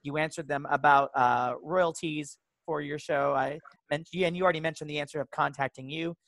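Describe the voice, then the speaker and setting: A man's voice: a little high, steady tone, normal speed, fairly bright tone, no echo. Casual male moderator, dry sound. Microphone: video call.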